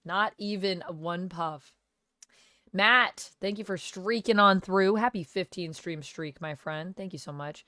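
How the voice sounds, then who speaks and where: A woman streamer under the weather with a cold, talking casually with a gravelly, smoky voice as she chats with viewers and thanks them by name. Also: fairly low pitch, a little slow, bright, forceful tone, dry recording, clean studio sound. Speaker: live streamer. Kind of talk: casual live-stream chat, reading viewer comments. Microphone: gaming headset.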